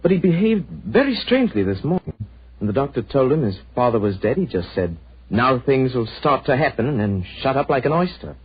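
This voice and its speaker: An actor playing a blunt, opinionated estate manager in 1940s radio style, with an emphatic way of talking. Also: male voice, mid-range voice, big pitch swings, brisk, breathy edge. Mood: suspicious. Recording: muffled old radio recording.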